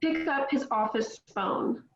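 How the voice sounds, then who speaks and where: A woman reading stage directions aloud at a table read in a plain, measured way. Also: high-pitched, echoey room, dull audio.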